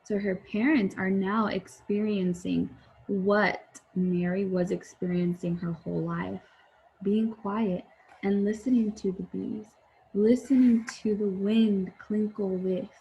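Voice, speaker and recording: A woman's voice: medium pitch, fairly even intonation, slow pace, vocal fry, mellow, highs cut off. A woman teacher reading a storybook aloud and explaining it simply to young children. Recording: faint background noise.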